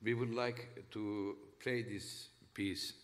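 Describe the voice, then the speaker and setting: A middle-aged man with a Turkish accent speaks in slow, halting English from a concert stage. His voice sits a little low and rings out in a long hall reverb, with faint noise underneath. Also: fairly even intonation. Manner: halting, with pauses and fillers. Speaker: musician introducing the band. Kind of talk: onstage concert announcement. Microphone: stage mic from a distance.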